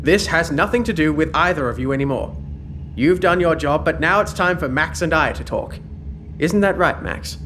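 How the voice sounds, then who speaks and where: A man's voice: a little high, normal speed, a bit bright, close to an everyday voice. Man playing a smug, controlling spymaster, noisy ambience. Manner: condescending, mock-polite, menacing. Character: smug, controlling male spymaster, mock-courteous villain. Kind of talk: sci-fi audio drama acting. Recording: clear background noise, ambience.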